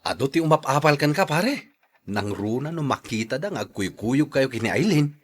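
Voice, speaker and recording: A man's voice: mid-range voice, big pitch swings, moderate pace, husky. A man with an Ilocano accent playing the doubtful young buddy of a suitor in a radio drama. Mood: probing.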